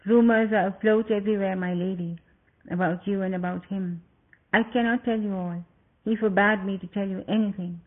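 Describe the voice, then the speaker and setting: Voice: mid-range voice, fairly even intonation, moderate pace, natural voice. A loyal young prince speaks in a subdued, grief-choked voice, as a storyteller voices him. The sound is narrow and tinny, like a phone call. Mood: sorrowful.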